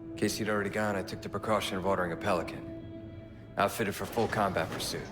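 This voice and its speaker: A man voicing a measured, earnest male naval commander in a game cutscene. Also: deep voice, fairly even intonation, over music, close to an everyday voice. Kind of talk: video game cutscene acting.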